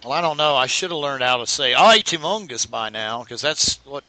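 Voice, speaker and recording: A man's voice: mid-range voice, expressive, normal speed, pushed and bright, no echo, low-quality recording. A man joking crudely with friends on a group voice call. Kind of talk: casual group voice chat.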